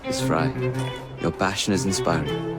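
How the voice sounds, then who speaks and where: A man playing a courteous, earnest shopkeeper spymaster in a video game, speaking in a measured, formal way. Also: deep voice, wildly swooping pitch, over loud music. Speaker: mentor, shop keeper. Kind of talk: video game cutscene acting.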